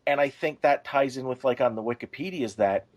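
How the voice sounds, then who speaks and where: A man's voice: mid-range voice, brisk, a little mellow, muffled recording. A man co-hosting a casual podcast, making his points in short, hesitant phrases. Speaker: podcast co-host.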